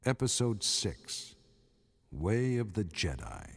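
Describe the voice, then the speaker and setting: A man narrating a scripted radio drama in a measured, solemn manner. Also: on the low side, very animated. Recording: music under the voice.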